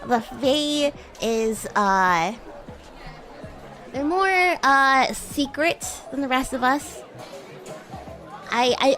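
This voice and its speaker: A woman roleplaying a playful, bratty demon classmate on a livestream, chatting in a halting, improvised way. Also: a little high, fairly bright tone. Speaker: streamer and VTuber host.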